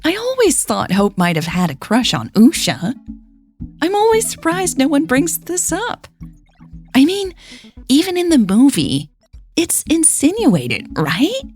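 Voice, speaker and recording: Gushy forum gossip played by a female storyteller, pitched up from her usual, questioning upturns. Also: huge pitch swings, a little slow, a little mellow, soft background music, heavily acted. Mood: speculating. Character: gushy, gossipy romance fan on an online forum.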